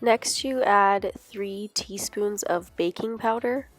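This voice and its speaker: A woman with a cold, her voice a bit nasal, calmly talks through each step of a recipe. Her pitch is mid-range, and soft music plays faintly underneath. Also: slow. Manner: relaxed, instructional. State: sick, a little nasal. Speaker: cooking vlogger. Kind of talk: step-by-step cooking voice-over.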